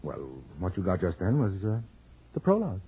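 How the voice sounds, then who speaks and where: An actor playing a wry, cunning private detective who teases and needles in a 1940s radio drama style. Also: male voice, low voice, wildly swooping pitch, a little fast. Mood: playful coaxing. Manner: sardonic, teasing, calls women dear and darling. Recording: dull old radio recording.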